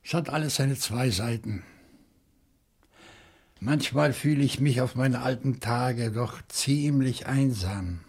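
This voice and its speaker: Slow-talking, bookish old bachelor. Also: male voice, mid-range voice, vocal fry, darkish tone, slightly rough, heavily acted. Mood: reflective. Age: older. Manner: deliberate, thoughtful, with hesitant pauses. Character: bookish, lonely old French bachelor, probing and cautious. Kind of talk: German radio crime play acting.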